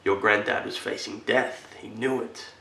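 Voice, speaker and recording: A man acting a solemn military veteran in a dramatic film monologue, telling an earnest story to a little boy with deliberate, halting phrasing. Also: fairly low pitch, steady tone, creaky, sharp tone, recorded from a distance. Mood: hushed, grave. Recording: echoey room.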